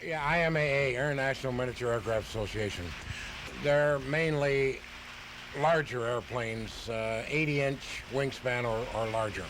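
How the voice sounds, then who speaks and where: A man's voice: mid-range voice, normal speed. A man casually explaining his hobby as a radio interview guest, in a matter-of-fact way. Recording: noisy home tape of live radio.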